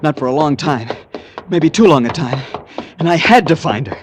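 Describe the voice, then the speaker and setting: A man's voice: fairly high pitch, lively intonation, moderate pace, close to an everyday voice. An actor playing a desperate, earnest young American man, narrating and pleading in 1940s radio suspense style. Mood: tense, narrating. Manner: earnest, nervy, dramatic. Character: anxious, desperate young man hunting his missing fiancee. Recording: vintage radio drama, music behind.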